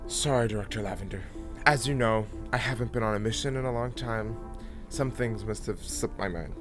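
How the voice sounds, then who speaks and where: A man's voice: lowish voice, fairly quick, music in the background, lightly acted. A man playing a weary, exasperated mentor to trainees in an audio drama, with dry grumbling delivery. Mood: apologetic. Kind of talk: scripted audio drama acting.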